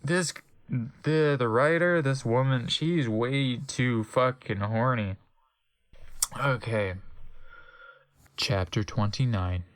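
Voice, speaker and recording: A man's voice: medium pitch, takes it slowly, long pauses, clear, resonant tone, no room sound, clean studio sound. A man doing a comedic dramatic reading as narrator, mock-serious and breaking off into incredulous asides.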